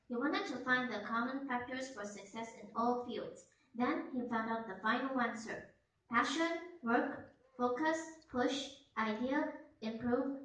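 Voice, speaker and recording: A woman's voice: fairly high pitch, fairly bright tone. Young woman acting an earnest storyteller, very echoey. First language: not English. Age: young adult. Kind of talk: scripted student radio play. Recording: echoey, noisy, distant mic.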